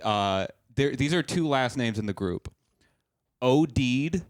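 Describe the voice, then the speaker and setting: Smooth-voiced man hosting a jokey podcast. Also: medium pitch, expressive, slow pace, dry recording, clean studio sound. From Boston area. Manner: rambling, self-interrupting, jokey storytelling. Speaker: podcast host. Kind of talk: casual podcast banter.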